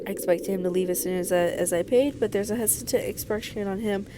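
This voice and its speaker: A woman's voice: medium pitch, fast. A woman doing a let's play, expressively reading visual novel narration aloud with stumbles and casual asides. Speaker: video creator playing a visual novel. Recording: clearly noisy recording.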